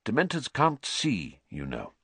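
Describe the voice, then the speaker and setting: A man voicing a bitter, vengeful male wizard who speaks in a low, growling, venomous way. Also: huge pitch swings, unhurried, a bit bright, husky, no echo, exaggerated voice. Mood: brooding.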